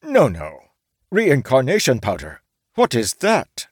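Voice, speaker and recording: A man's voice: mid-range voice, wildly swooping pitch, slow, breathy edge, dry recording, clean studio sound, pitched above the performer's own voice, heavily acted. A man voicing a flustered, evasive male samurai. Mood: defensive. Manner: defensive, sputtering.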